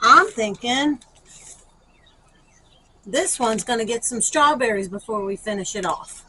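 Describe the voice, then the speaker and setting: A woman's voice: fairly high pitch, expressive, measured pace, pushed and bright, slight background noise, low-quality recording. A woman talking informally to viewers as a gardening vlogger, thinking aloud through a hands-on demonstration.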